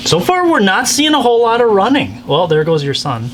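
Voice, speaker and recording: A man's voice: sits high, huge pitch swings, a little fast, fairly bright tone. Man chatting through a hands-on craft, noisy. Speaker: craft instructor guiding a helper. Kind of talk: casual chat while working. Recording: noisy room, clear background noise.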